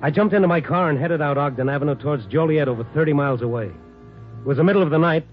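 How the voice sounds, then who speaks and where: A man's voice: on the high side, fast talker, close to an everyday voice. An actor playing a dogged, compassionate newspaper reporter in a 1950s radio drama, telling his story tersely and asking probing questions. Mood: urgent, brooding. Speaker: actor in the lead role and narrator. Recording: muffled old radio recording with music.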